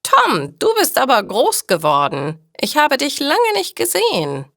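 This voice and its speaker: A kind, shrewd old grandmother from a storybook, as a woman narrator puts her on. She talks slowly and deliberately, with a gravelly creak and swinging intonation. Her manner is plain and matter-of-fact. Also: high-pitched, wildly swooping pitch, a bit bright, no room sound, clean studio sound, voice pushed up, exaggerated voice. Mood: welcoming, delighted. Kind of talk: storybook dialogue for language learners.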